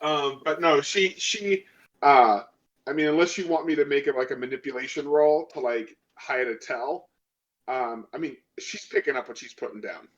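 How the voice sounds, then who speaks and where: A man with a slightly high voice talks through his moves as a tabletop game player. His talk is casual and slangy, full of loose paraphrase. The sound is dull and lo-fi. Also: normal speed, fairly bright tone.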